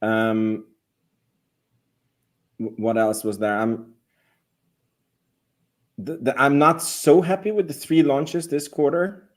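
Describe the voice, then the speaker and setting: A man giving his views as a guest analyst in a casual discussion, reasoning aloud haltingly and repeating words. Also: medium pitch, fairly even intonation.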